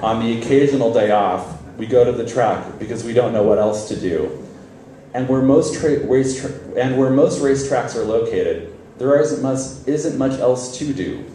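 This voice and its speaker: A man's voice: medium pitch, normal speed, dark tone. A man reading a first-person story aloud on stage, in a wry, conversational way with occasional stumbles. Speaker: reader at a literary event. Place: live reading event, on stage. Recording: very echoey hall, distant mic, faint noise.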